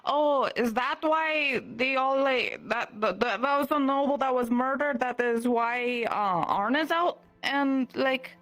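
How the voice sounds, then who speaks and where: Woman playing an unhurried, earnest young fantasy woman. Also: sits high, a bit bright, poor audio. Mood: surprised, puzzled. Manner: hesitant, informal, trailing off. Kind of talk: improvised fantasy roleplay, casual chat.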